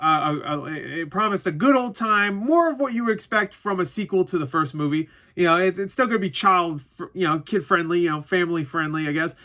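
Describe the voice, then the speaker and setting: A man giving a casual, unscripted movie review as an online host, rambling and cutting himself off with animated asides and the odd mild swear. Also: on the high side.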